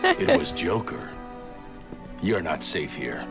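Super deep gravelly masked hero, grim, game music under. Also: male voice, wildly swooping pitch, slow pace, heavily acted. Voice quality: low gravelly growl. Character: grim brooding masked male vigilante detective.

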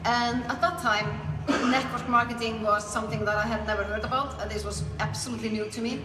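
A woman's voice: on the high side, steady tone, a little fast. A middle-aged woman from Iceland with an Icelandic accent, telling their own story conversationally as an interview guest. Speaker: network marketer being interviewed. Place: small indoor room. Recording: echoey room, clear background noise.

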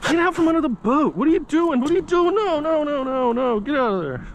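A man fishing from a boat at night, talking excitedly and swearing as he fights fish, recorded on an action camera. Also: very high-pitched, a little fast. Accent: Floridian American. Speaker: angler filming his fishing trip. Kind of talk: excited casual fishing chatter. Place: small boat on the water at night. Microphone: action camera worn on the body. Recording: noisy outdoor action-camera audio.

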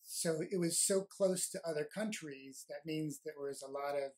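An interviewer asking short, open questions in an oral history interview and giving soft backchannels while listening. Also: medium pitch, moderate pace. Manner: brief prompts and soft backchannels.

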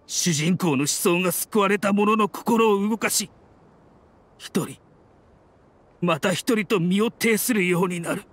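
A man voicing a grim, resolute mercenary in a video game, speaking in a rough, blunt and earnest dramatic manner. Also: high voice, a little slow, long pauses. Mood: bitter, earnest. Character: grim, resolute mercenary man bent on avenging his leader. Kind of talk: dramatic anime-style game acting. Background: soft music.

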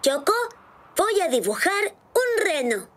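High, bouncy little cartoon rabbit boy. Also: slow, exaggerated voice. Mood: cheerful, instructive. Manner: clear, instructive, friendly. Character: cheerful little boy rabbit who loves drawing. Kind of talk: children's cartoon dub, explaining drawing steps. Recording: lively children's music behind voice.

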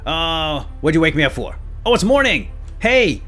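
A man with very lively, swooping intonation gives playful let's play commentary. He talks to himself and to viewers in an exclamatory way, with music behind him. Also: fairly high pitch, moderate pace. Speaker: gaming video host.

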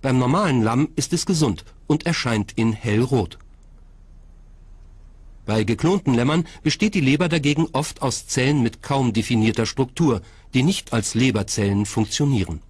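A man's voice: medium pitch, normal speed, darkish tone, husky, dry recording. A man reading scripted documentary narration as the narrator. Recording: music bed behind narration. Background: background music.

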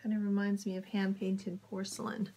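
A woman with a husky, gravelly voice that is worn and crackly from a cold. She talks slowly and evenly, with little rise and fall, and keeps slipping into soft whispers. It has the feel of a casual chat recorded in a home sewing studio. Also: mid-range voice, level tone. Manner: soft-spoken, drifts into whispers when admiring fabric. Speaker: sewing vlogger. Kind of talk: casual fabric haul chat.

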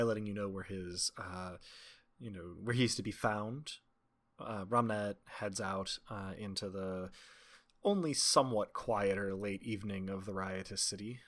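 Low-voiced man narrating haltingly. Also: a bit bright. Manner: halting, wry. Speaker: game master. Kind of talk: improvised tabletop game narration.

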